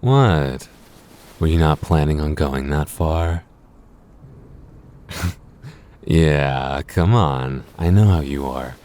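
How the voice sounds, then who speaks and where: Possessive, affectionate boyfriend performed by a man for audio roleplay: very low-pitched, intimate, teasing delivery. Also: a little mellow.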